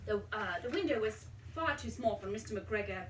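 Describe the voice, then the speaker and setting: A woman reading a children's storybook aloud in an expressive, dramatic way, pausing to put questions to a young child. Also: mid-range voice. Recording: roomy, distant mic, faint background noise.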